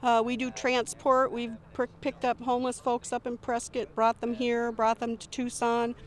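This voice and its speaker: Earnest middle-aged woman, slightly high voice. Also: completely flat delivery, normal speed. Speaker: volunteer. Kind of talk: interview testimony.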